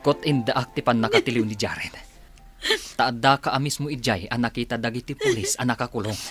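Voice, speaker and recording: A man playing a steady, caring young man in a radio drama. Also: mid-range voice, fairly quick, faint music behind, natural voice.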